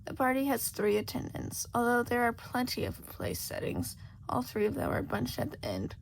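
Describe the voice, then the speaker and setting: Female audiobook narrator for fan fiction: highish, lively intonation, creaky edges. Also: normal speed, slight background noise, poor audio. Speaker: podfic narrator.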